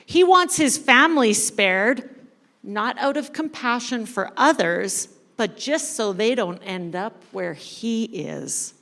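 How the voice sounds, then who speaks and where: A woman reading a story dramatically to a congregation as a church storyteller, expressive and deliberate. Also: a little high, vocal fry. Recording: echoey hall with long reverb.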